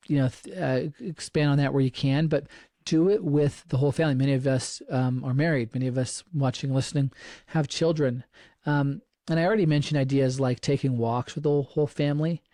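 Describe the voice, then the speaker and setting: A man's voice: mid-range voice, warm tone, dry recording, studio quality. A middle-aged man hosting a podcast, giving earnest advice in a casual way and often restarting his sentences. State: slightly froggy voice. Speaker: podcast host.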